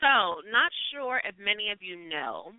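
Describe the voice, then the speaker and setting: New guy hosting a call-in show, kinda high voice, gushy and cussing a lot, thin phone-line sound. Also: big pitch swings, unhurried, no echo. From New York City. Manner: gushing, effusive, slangy and profane. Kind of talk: casual call-in podcast chat. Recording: tinny phone-line audio.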